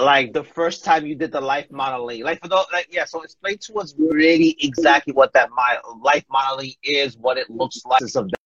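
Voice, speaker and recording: Slightly muffled, lo-fi audio carries a man co-hosting an interview. His voice sits at a medium pitch, and he asks casual questions in halting phrases that circle back on themselves. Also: fairly bright tone, a little hiss or hum. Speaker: interview co-host.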